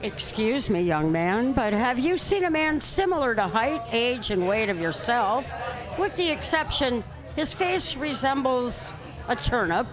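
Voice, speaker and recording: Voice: medium pitch, very animated, unhurried, low-quality recording. A performer playing a prim, haughty old lady in an amateur comic radio play, speaking in formal, wordy phrases. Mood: polite, urgent. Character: prim old lady seeking her stolen dentures.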